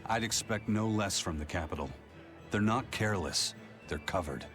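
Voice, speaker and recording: A man voicing a composed, scholarly adventurer in a video game, measured and formal. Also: on the low side, expressive, a bit bright. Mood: cool. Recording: music behind the voice.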